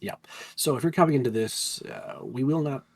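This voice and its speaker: A man's voice: medium pitch, normal speed, a little mellow. A man co-hosting a casual game chat, with a nasal voice as he recovers from losing it, chatty and teasing. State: recovering from lost voice. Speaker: podcast co-host.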